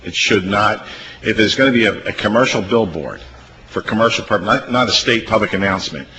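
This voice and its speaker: A man with a fairly low voice puts questions at a legislative hearing. His delivery is halting and spontaneous, circling back as he reasons, with clear noise around him. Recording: clear background room noise.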